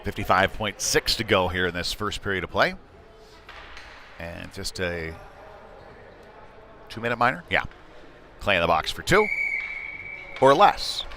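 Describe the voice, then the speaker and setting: A man doing live play-by-play hockey commentary in a halting, conversational way, with frequent uhs. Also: low voice, animated, bright, forceful tone. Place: indoor ice rink. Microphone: wired broadcast microphone. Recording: clear rink noise behind the voice.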